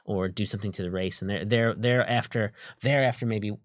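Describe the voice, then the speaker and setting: A man co-hosting a gaming livestream in casual, unscripted chat, halting and repeating his words as he goes. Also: on the low side, fairly even intonation, no echo, studio quality.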